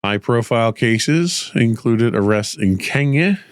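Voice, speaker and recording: A man hosting a tech news podcast, delivering measured, explanatory commentary with a voice slightly rough from a cold. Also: medium pitch, animated, no room sound, clean studio sound. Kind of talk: news commentary, partly read from script.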